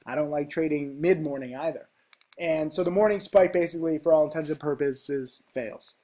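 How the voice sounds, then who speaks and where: A man with a congested, stuffy nose talks through trading charts. His voice sits a little high, and he rambles in casual, emphatic bursts, checking in with "okay?" as he goes. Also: moderate pace, warm tone. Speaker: stock trading educator. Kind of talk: casual screen-share chart walkthrough.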